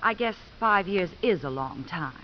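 A woman's voice: lowish voice, animated, moderate pace, natural voice. Woman playing a brazen ex-wife, muffled radio. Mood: coy. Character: brazen, scheming, seductive ex-wife. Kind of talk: 1950s radio acting. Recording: muffled, noisy 1950s radio recording.

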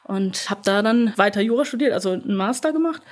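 A woman's voice: mid-range voice, lively intonation, fast. A young woman talking casually as an interview guest, chatty, with many fillers and laughs.

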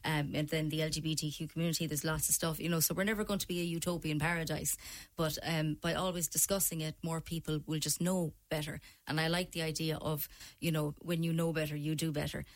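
A woman's voice: low-pitched, level tone, a little fast. An Irish woman giving her opinions as a panel guest in a chatty, colloquial, meandering way. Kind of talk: casual discussion, opinion.

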